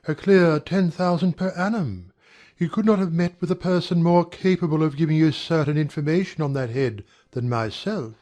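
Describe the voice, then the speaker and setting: A man acting a courteous, higher-voiced young gentleman. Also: close to an everyday voice. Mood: confiding, assured. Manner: measured, formal, deliberate phrasing. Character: courteous, guarded young English gentleman, smoothly confiding. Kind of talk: dramatized audiobook dialogue.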